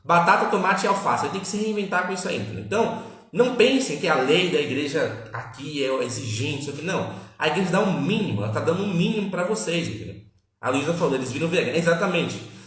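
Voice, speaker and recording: A fairly high-voiced man hosts a home live stream. He talks in a casual, emphatic teaching way, often checking in with his listeners. His voice rings through a very echoey room. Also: animated, fast talker, a bit bright. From Salvador, Bahia, Brazil. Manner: chatty, repeats tag questions, emphatic. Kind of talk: casual teaching chat with viewers.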